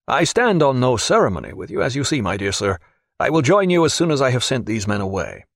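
A wealthy, self-assured gentleman, as a man narrating an audiobook plays him. He is courteous and expansive, with an urbane manner and a swooping, animated delivery. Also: medium pitch, a little fast, gravelly, dry recording, exaggerated voice. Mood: genial, brisk. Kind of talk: audiobook character voice.

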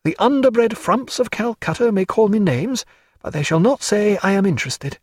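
Brief: man playing a genteel lady, raised voice, quick and animated, slightly husky, dry read. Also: high-pitched, dry recording, pitched above the performer's own voice. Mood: fond, chattering. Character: elegant lively woman, fond and teasing. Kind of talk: audiobook character voice.

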